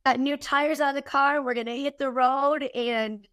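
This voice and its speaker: A woman guest, her voice a little husky from a cold, chatting casually and candidly with a quick, joking manner. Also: high voice, steady tone, a bit bright, no room sound, studio quality. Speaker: podcast guest, keynote speaker. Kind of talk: casual chat.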